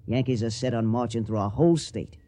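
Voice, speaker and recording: A man's voice: mid-range voice, a little fast, warm tone, close to an everyday voice. A man playing an earnest, dutiful young farmer with a Southern accent in a radio drama. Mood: resolute.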